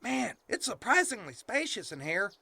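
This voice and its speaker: A performer playing a young, sarcastic, excitable country character with folksy phrasing in a comedy audio drama. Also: mid-range voice, moderate pace, creaky, husky, no echo, full character voice. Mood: amazed, excited. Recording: faint ambient noise behind.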